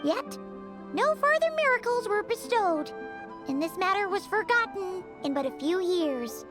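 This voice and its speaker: A woman voicing a small, childlike fairy companion in an anime game, expressive, squeaky and blunt. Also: unhurried, over music, heavily acted. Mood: reading aloud. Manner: exclamatory, expressive.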